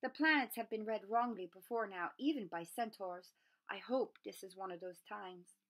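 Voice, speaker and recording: A woman voicing a solemn male centaur in a children's storybook, speaking in a grave, measured, formal way. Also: medium pitch, fairly bright tone, natural voice, poor audio. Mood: gentle farewell. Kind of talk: children's storybook read-aloud.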